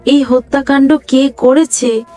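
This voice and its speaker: A woman narrating a horror story slowly and deliberately. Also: high-pitched, fairly even intonation, dark tone, soft background music.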